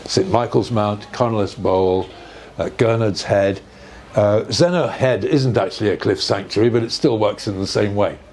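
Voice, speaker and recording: An older man giving an informal, measured explanation in conversation, often checking with rhetorical questions. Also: on the low side, darkish tone. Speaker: speaker on earth energies and ancient sites. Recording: noticeable background noise.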